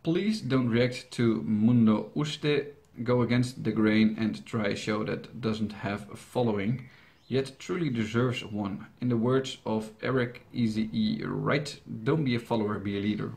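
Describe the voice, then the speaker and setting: A man's voice: lowish voice, measured pace, vocal fry, a little mellow. A young Dutch man, whose first language is Dutch, answering viewer questions in English in a rambling, hesitant, joking way. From Netherlands. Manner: rambling, hesitant, with frequent fillers and restarts, joking. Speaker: YouTube reaction channel host.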